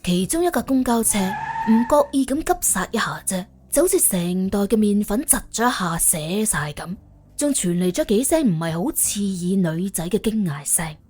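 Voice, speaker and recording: A woman tells a scripted story as an audiobook narrator. Her husky voice moves widely in pitch, with a wry, colloquial and expressive delivery. Soft music runs faintly underneath. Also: moderate pace.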